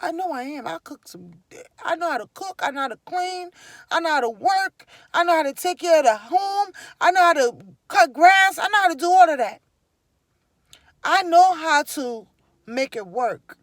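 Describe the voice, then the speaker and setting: Liberian-accented woman vlogger, high voice, dry sound, emphatic and preachy. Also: lively intonation, normal speed, dry recording. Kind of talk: casual personal storytelling to camera.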